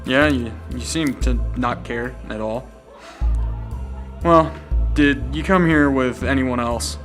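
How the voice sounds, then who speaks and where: A man's voice: mid-range voice, takes it slowly, a bit bright. Man acting a hard-boiled, stern detective over music. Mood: probing, suspicious. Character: weary, stern male police detective, suspicious and blunt. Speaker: student voice actor. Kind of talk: noir radio drama acting. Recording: amateur radio drama with music underneath.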